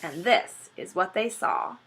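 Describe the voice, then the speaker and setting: A woman reading a children's book aloud as an expressive storyteller. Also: lowish voice, a little slow, breathy edge. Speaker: story reader.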